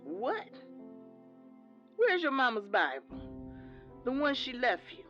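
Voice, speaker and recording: Woman playing a devout church mother, soft and motherly, slightly high voice, dull lo-fi sound. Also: huge pitch swings, takes it slowly, soft background music, heavily acted. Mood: gentle. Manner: soft, motherly, calls him baby. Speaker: mother of the lead. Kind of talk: audio drama acting.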